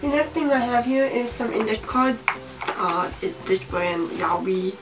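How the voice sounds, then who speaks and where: A young woman of about eighteen with a slight cold, talking casually and haltingly to camera as she shows things in a room at home. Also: fairly high pitch, expressive. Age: young adult, about 18. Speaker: vlogger. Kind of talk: casual show-and-tell to camera. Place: small home room, indoors. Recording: distant mic, music behind.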